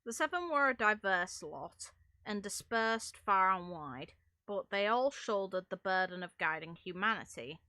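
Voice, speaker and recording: A woman's voice: mid-range voice, lively intonation, unhurried, pushed and bright, no echo. A woman streaming a video game, talking casually to chat and reading out lore. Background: faint game music.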